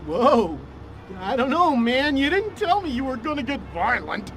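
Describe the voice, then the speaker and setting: A high, swooping voice for a twitchy hippie stoner in a video game. He gossips in slangy, mellow phrases with a nervous edge, over faint background noise. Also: sing-song, measured pace, full character voice. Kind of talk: video game cutscene voice acting.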